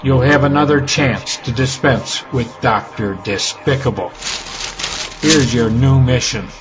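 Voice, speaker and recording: A man's voice: medium pitch, measured pace, lo-fi sound, noisy background, close to an everyday voice. A man playing a disappointed, patronising boss of villains in a comedic audio drama, with a dry delivery. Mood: condescending.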